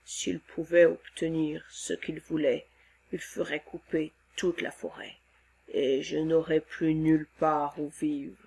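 Woman putting on a giant gentle monster, deep hushed voice, slow and heavy, storybook. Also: flat delivery, gravelly, breathy edge, heavily acted. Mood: grave. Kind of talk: storybook read-aloud.

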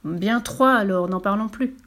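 A woman's voice: mid-range voice, measured pace, fairly bright tone, natural voice. Sultan for a children's tale, played by a woman: rich imperious man, curt, assured, drily ironic.

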